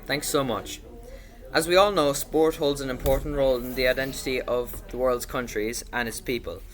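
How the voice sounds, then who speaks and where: A man's voice: mid-range voice, steady tone, normal speed, fairly bright tone. A young man presenting as a podcast host in a scripted, introductory style.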